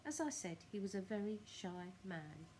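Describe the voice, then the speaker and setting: A woman's voice: medium pitch, big pitch swings, normal speed, darkish tone, slight background noise. A woman narrating a children's story expressively, with careful phrasing and dramatic emphasis. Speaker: primary school storyteller.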